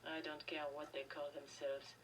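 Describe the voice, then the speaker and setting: A woman's voice: low voice, level tone, moderate pace, recorded from a distance, background noise, lightly acted. A woman playing a defiant, world-weary cafe keeper in a video game, resolute and conspiratorial. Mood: defiant, guarded.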